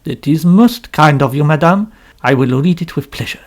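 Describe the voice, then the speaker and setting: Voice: mid-range voice, animated, moderate pace, close to an everyday voice. A narrator voicing a polite, formal male detective with a French-flavoured accent. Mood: courtly. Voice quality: courtly, formal. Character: Hercule Poirot, polite small Belgian detective.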